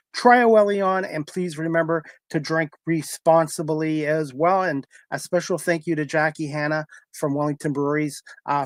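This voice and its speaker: Higher-voiced man reading sponsor spots. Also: dry recording. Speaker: talk show host reading sponsor spots. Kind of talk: live sponsor read, conversational.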